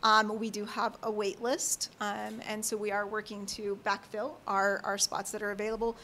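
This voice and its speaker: A woman working as a school family support advisor, speaking loudly and earnestly as she explains her work at a public board meeting. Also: medium pitch, little pitch movement, normal speed, a little hiss or hum.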